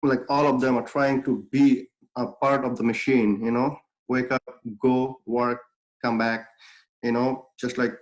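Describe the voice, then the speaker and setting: A man's voice: mid-range voice, little pitch movement, measured pace, rough recording. A young man from Bangladesh, a native Bengali speaker, tells his life story as an interview guest, earnest and reflective, with many fillers and restarts. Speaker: guest, clothing brand founder.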